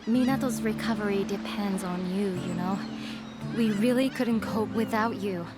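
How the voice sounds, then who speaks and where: An actress playing a gentle, earnest, caring young townswoman in a video game, polite and solicitous. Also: medium pitch, normal speed, over loud music, close to an everyday voice. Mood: worried, caring. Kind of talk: video game cutscene acting.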